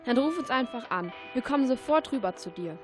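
A young female acts as a decisive girl sleuth in a radio play. Her voice is high and eager, over loud music. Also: lively intonation, brisk. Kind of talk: amateur radio play acting.

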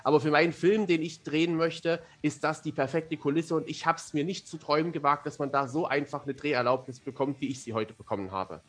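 A man's voice: fairly high pitch, fairly quick, few pauses, fairly bright tone. A man speaking as a guest in a casual podcast conversation, chatty and self-deprecating. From Germany. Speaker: interview guest, fantasy author and amateur filmmaker.

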